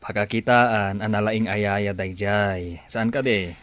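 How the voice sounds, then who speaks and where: A man's voice: lowish voice, fairly even intonation, slow pace, a bit bright, dry recording. A man acting a persuasive, scheming boss in an Ilocano radio drama, with an Ilocano Filipino accent. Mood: persuasive, casual.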